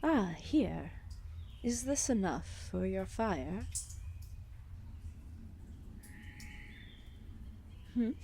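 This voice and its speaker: A woman's voice: mid-range voice, very animated, gravelly, dark tone, slight background noise, heavily acted. A woman voicing a giant, ancient serpent deity, regal, drowsy and amused, speaking in formal, condescending phrases in an ASMR roleplay audio drama. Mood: approving, calm. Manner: slow, languid, formal, condescending speech.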